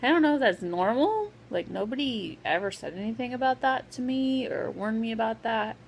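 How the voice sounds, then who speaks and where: Woman vlogging w a sore scratchy throat, kinda gravelly, says um a ton. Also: on the high side, lively intonation, moderate pace. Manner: hesitant, full of "um" fillers. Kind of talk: casual vlog update.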